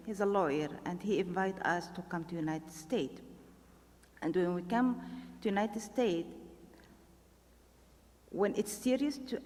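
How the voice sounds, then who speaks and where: A middle-aged woman from Lebanon with a Lebanese Arabic accent, telling her story at a panel in halting, simple second-language English. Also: on the low side. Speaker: panel speaker. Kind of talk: personal testimony at a panel. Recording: long hall reverb.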